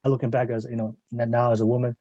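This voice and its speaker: A man's voice: mid-range voice, monotone, very fast, dark tone, no echo, dull audio. A man hosting a podcast in a casual interview style, rambling as he repeats and rephrases what he says. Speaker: podcast host.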